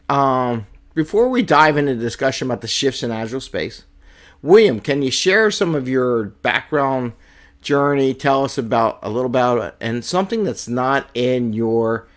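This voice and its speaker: A man hosting an interview, asking friendly, loosely phrased questions and prompting his guest. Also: medium pitch. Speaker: host. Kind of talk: casual interview chat.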